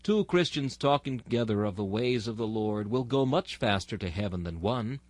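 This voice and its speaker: A man's voice: on the low side, animated, moderate pace, a little mellow, no room sound. A man reading a sermon in a solemn, exhorting, oratorical manner. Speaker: narrator reading a historical sermon.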